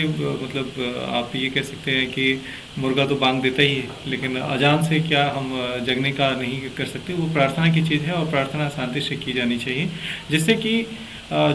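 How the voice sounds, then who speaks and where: A man's voice: mid-range voice, gravelly, noisy background. A man hosting a political interview show, explaining at length in a conversational, hesitant way.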